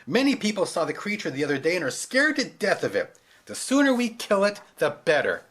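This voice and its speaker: A man voicing a bossy tall male hunter with a rifle, talking loudly and brusquely down to a child. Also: high voice, huge pitch swings, a little fast, voice pushed up, full character voice. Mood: gruff. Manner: loud, brusque, condescending.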